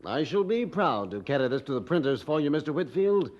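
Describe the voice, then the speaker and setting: A man's voice: on the high side, big pitch swings, brisk, lo-fi sound. A man acting a respectful eighteenth-century supporter of a preacher in an audio drama, formal and oratorical.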